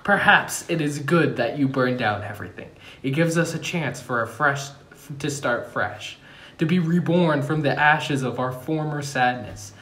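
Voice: mid-range voice, unhurried, few pauses, fairly bright tone, echoey room, lightly acted. An amateur reader playing a melodramatic, earnest king in a comedy script table read, declaiming theatrically in a room at home. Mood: earnest, uplifting. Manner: theatrical, swooping declamation broken by amusement. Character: melodramatic young king turned cheerful, earnest ruler.

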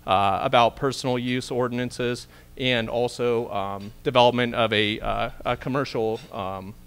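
A man's voice: medium pitch, steady tone, measured pace, fairly bright tone, smooth. A man in his thirties, a city planning official, speaking on a handheld microphone at a panel in a large banquet room, earnest and explanatory with many fillers. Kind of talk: panel presentation.

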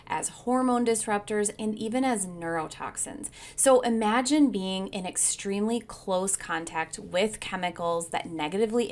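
A woman hosting a home wellness video, reading a steady, informative script as a voice-over and recommending products she uses. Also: medium pitch. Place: not seen, voice-over over slides.

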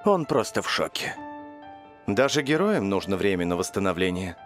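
A woman's voice: rumbling low, big pitch swings, normal speed, soft background music. A woman voicing an earnest, confident young boy who leads a team in a cartoon dub. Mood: reassuring. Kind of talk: animated series dub.

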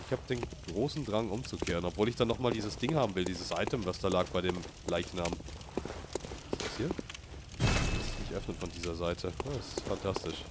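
A man's voice: sits low, brisk, heavy background noise. A man with a slight cold and a sniffle, chatting casually to viewers while he plays and reacts aloud to the game. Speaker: let's play gamer. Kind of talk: casual gameplay commentary.

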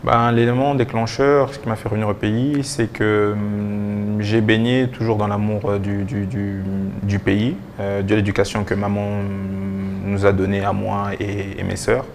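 A young man giving halting answers with repeated words as a guest in a TV interview. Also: fairly low pitch, level tone, slight background noise. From DR Congo and Belgium. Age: young adult. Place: TV studio. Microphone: lapel mic.

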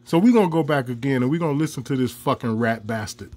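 A man's voice: medium pitch, fast talker, a little mellow. A man, ranting angrily in a profane commentary. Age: 40s. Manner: profane, heated, laughs mid-rant.

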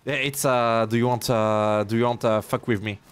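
A young French man with a French accent, chatting excitedly while streaming a game, mixing French and broken English. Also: mid-range voice, normal speed, clean tone. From France. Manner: animated, shouty, mixes French and broken English. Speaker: gaming streamer. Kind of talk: excited gameplay chat with a stranger. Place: home gaming room. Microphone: headset mic.

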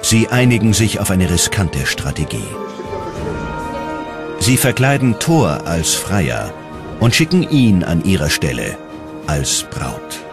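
A man's voice: sits low, sing-song, slow, pauses often, music in the background. A man reading scripted documentary narration in a dramatic storytelling manner.

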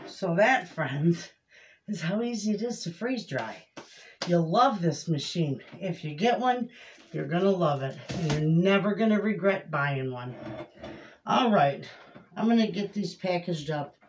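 A middle-aged woman chatting casually to camera in her home kitchen as she cooks, homey and quick to laugh. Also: on the low side, animated. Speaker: homestead cooking vlogger. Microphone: camera across the kitchen. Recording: noisy home kitchen audio.

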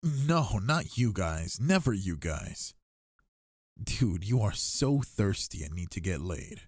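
A man acts out a young gamer boyfriend in an ASMR roleplay, cocky yet affectionate. His voice is low and he takes his time, with slangy, teasing phrasing. The sound is close and dry. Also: sing-song, slow, darkish tone, breathy edge, no echo, full character voice.

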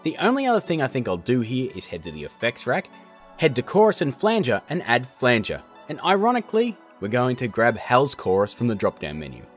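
A man hosting a software tutorial in a casual, chatty, jokey narrating style. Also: medium pitch, wildly swooping pitch, fairly quick, soft background music. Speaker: tutorial host.

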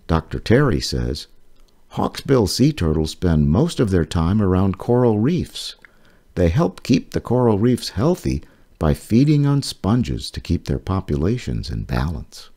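A man with a husky voice reading a children's nonfiction book aloud in a measured, explanatory way. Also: sits low, warm tone.

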